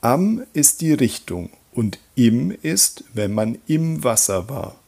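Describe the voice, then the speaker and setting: A man teaching German grammar in a slow scripted voice-over, reading example sentences and repeating them. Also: mid-range voice, very animated, gravelly, darkish tone.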